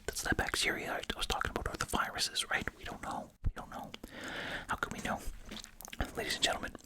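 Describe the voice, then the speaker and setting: An ASMR host speaking in a breathy, unvoiced whisper close to the microphone while chewing gum, reading aloud and adding casual comments. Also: brisk.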